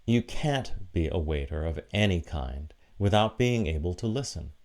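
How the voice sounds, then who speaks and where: A man with a fairly low voice preaches a prepared, reflective sermon. He phrases things deliberately, setting off key words with short pauses in a measured delivery. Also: expressive, a little mellow. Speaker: preacher giving a sermon for an online church service.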